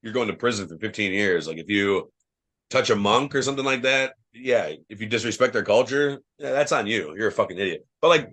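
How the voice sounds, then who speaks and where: A young man from Ohio, a wrestler guesting on a podcast, telling loose, profane travel stories full of fillers, with a loud voice. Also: lowish voice, lively intonation, brisk. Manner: says he has a loud voice. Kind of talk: casual podcast chat.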